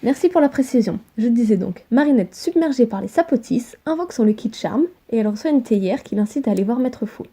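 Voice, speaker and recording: A woman's voice: a little high, expressive, fast, few pauses, dark tone, husky. A woman co-hosting an online fan theory video, explaining and bantering with a partner.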